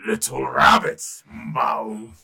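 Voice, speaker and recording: Storyteller doing a slow, pompous elephant king. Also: medium pitch, level tone, vocal fry, bright, forceful tone, voice pushed down, exaggerated voice. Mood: dismissive. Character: big haughty king of the elephants.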